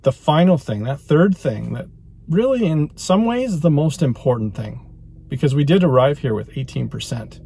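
A man in his thirties narrating a road-trip vlog from inside his car, explaining things in a relaxed way with fillers and restarts. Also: a little high, normal speed, gravelly, slight background noise. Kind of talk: casual vlog narration while driving. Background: music playing under the voice.

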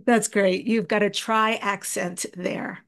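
A woman's voice: medium pitch, fairly even intonation, slow, vocal fry, a bit bright. A middle-aged woman hosting a podcast interview, asking questions enthusiastically over a video call. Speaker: host.

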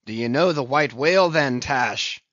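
A man voicing a fierce, obsessed old sea captain in a storybook reading, loud and declamatory, rallying his crew in archaic seafaring speech. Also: medium pitch, normal speed, no echo, highs cut off, lightly acted. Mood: excited. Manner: archaic seafaring oratory, commanding.

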